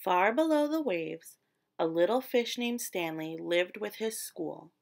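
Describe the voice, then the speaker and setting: A woman storyteller narrating a children's picture book expressively, with deliberate, dramatic emphasis on descriptive words. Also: medium pitch, animated, creaky. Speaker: read-aloud storyteller.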